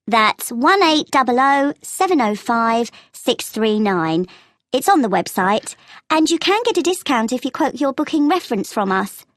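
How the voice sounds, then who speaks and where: A high female voice with very animated intonation, recorded close and dry. A woman acting as a helpful travel agent in an exam listening dialogue, explaining details in a clear, measured way. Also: no room sound. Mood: helpful, informative. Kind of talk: scripted language-test dialogue acting.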